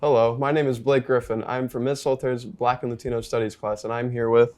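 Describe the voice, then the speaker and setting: A man's voice: mid-range voice, little pitch movement, fairly quick, darkish tone. A teenage boy interviewing a classmate for a school project, reading his questions with frequent "uh.". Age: teens. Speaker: student interviewer.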